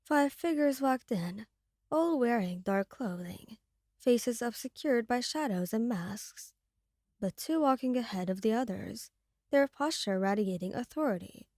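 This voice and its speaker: A woman's voice: a little high, animated, a little slow, darkish tone, dry recording, clean studio sound. A woman giving dramatic, scripted second-person narration for an ASMR audio roleplay.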